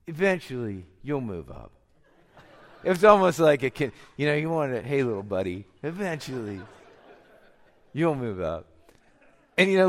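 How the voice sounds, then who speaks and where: A middle-aged male pastor speaks to his congregation with a voice thickened and deepened by a cold. He talks in a relaxed, storytelling way at a mid pitch. The sound carries a long reverb from a church hall. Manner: conversational storytelling preacher, chuckles often. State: has a cold, deeper voice than usual. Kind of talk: sermon.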